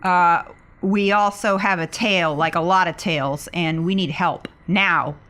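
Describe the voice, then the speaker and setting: A woman's voice: on the low side, fairly even intonation, unhurried, fairly bright tone. A woman playing a tabletop game, speaking casually in character in an insistent, plain-spoken way.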